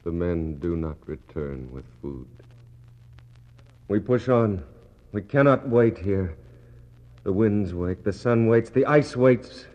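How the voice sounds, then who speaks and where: An actor playing a doomed, starving polar expedition officer in a 1960s radio drama, despairing yet resolute, with slow, halting, incantatory delivery and a gravelly voice. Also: male voice, sits low, animated, dark tone. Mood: desperate resolve. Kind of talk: 1960s radio drama acting, poetic monologue. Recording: muffled, noisy 1960s radio recording.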